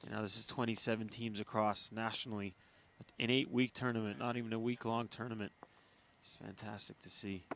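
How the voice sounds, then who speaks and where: A man's voice: on the low side, steady tone, brisk, a bit bright, a little hiss or hum. A man giving cricket analysis in casual chat, often repeating words while he thinks.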